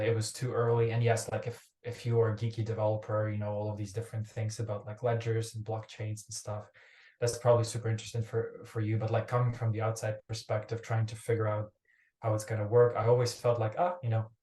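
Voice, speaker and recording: A man's voice: fairly low pitch, mellow. Man in a roomy echo. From Ukraine. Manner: rambling, restarts phrases, quick asides. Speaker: startup founder guest. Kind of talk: casual podcast interview. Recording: roomy echoey remote recording.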